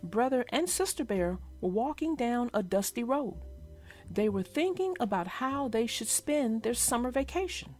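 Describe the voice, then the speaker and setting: A woman hosts and narrates a children's read-aloud. Her voice is fairly high and very expressive, speaking straight to young listeners, with gentle music underneath. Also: moderate pace. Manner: clear storytelling, addressing young listeners. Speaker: storybook narrator and host.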